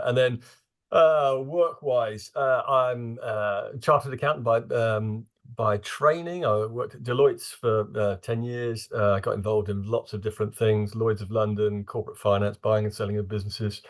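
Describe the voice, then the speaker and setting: A man's voice: fairly low pitch, steady tone, moderate pace. A man born in Singapore who speaks as a forum guest, introducing himself with frequent fillers and hesitations. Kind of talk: casual online talk.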